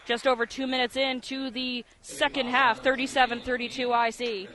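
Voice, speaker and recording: A man's voice: extremely high pitch, little pitch movement, moderate pace, pushed and bright, a faint crowd. A man calling basketball live on the radio as play-by-play announcer, in clipped, vivid descriptive phrases. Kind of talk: live sports radio commentary. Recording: live broadcast with gym noise. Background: basketball game ambience, bouncing ball.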